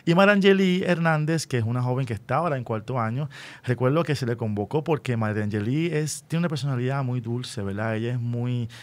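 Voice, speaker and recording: A man with a Puerto Rican accent hosting an unscripted radio interview, speaking deliberately and often checking in with short rhetorical questions. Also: mid-range voice. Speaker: radio host and school educator.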